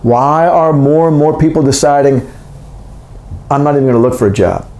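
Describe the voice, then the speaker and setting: A man's voice: a little high, moderate pace, darkish tone. A man in his sixties giving a recorded economics lecture, talking in a loose, conversational way and often correcting himself mid-sentence. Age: 60s. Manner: rambling, self-correcting, conversational. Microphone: recorded for a video lecture. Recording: echoey room.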